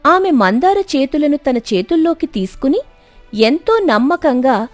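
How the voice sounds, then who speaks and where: A woman narrating a scripted moral story dramatically, with expressive, emphatic delivery. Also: high-pitched, measured pace, dry recording, faint music behind.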